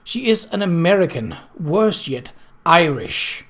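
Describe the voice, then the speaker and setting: Man as storybook widow, middle-aged, upper-class, stern, indignant, snappish, sanctimonious, raised pitch. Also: big pitch swings, measured pace, a bit bright, exaggerated voice. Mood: disapproving.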